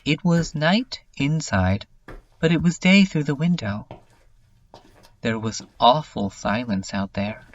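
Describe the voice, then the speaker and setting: A voice narrating a fan dub in a slow, flat, drifting monologue, with a soft, hushed delivery. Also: medium pitch, lo-fi sound. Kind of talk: slow monologue read over music. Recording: distant voice under loud music.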